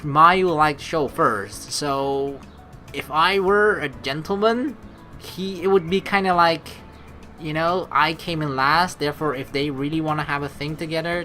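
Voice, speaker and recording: Animated, bright-voiced man doing let's play commentary. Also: on the high side. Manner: rambling, slangy, exasperated asides, profane. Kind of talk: casual gameplay commentary, reading story text aloud.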